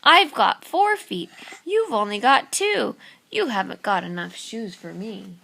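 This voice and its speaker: A greedy storybook tiger brags in a slow, drawn-out, self-satisfied voice, as a woman puts him on while reading aloud. The tone is gravelly and a little lower than her own. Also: animated, bright, forceful tone, exaggerated voice. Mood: smug. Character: greedy, boastful male jungle tiger.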